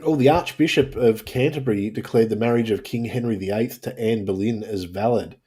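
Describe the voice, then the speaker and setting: A man co-hosting a podcast, chatting casually in a hesitant, halting way. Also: on the low side, warm tone. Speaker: podcast co-host. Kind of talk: casual panel chat.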